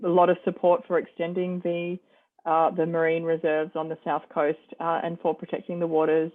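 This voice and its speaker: A woman moderating an online panel discussion, with a hesitant, halting delivery. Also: sits low, monotone. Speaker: forum moderator. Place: online video call. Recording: muffled, band-limited video call audio.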